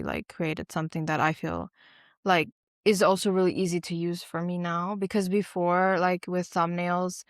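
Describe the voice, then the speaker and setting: A woman co-hosting a podcast in relaxed, chatty talk, explaining at length with many fillers. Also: on the low side, no echo, clean studio sound. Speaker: podcast co-host. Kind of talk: casual chat.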